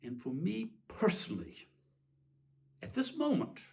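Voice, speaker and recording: A man's voice: sits high, huge pitch swings, a little slow, creaky, a little mellow, voice pushed up, full character voice. A man playing a weary, self-pitying tyrant king in a theatrical monologue, fussy, pompous and plaintive. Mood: anxious arguing. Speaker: reader giving a dramatic reading. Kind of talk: theatrical dramatic monologue reading.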